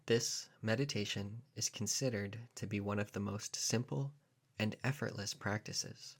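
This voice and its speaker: A man narrating a guided meditation as a teacher, in measured, deliberate phrases with pauses. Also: fairly low pitch.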